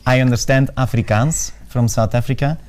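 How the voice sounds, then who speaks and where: A man whose first language is Dutch, speaking calmly and thoughtfully as an interview guest. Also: medium pitch, normal speed. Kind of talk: casual interview.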